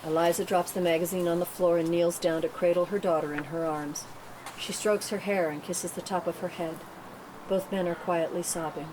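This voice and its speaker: A woman's voice: lowish voice, steady tone, moderate pace. A woman reading scripted stage directions as narrator at a table read, in a noisy room.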